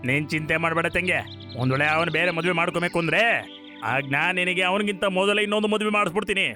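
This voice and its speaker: Man playing a bright-voiced protective wolf brother. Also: high-pitched, steady tone, racing, soft background music. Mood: concerned. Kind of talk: cartoon voice acting.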